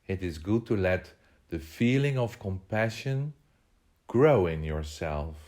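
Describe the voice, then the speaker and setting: A man leading a guided meditation, giving measured instructions in short phrases with pauses between them. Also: low-pitched, lively intonation, a little mellow.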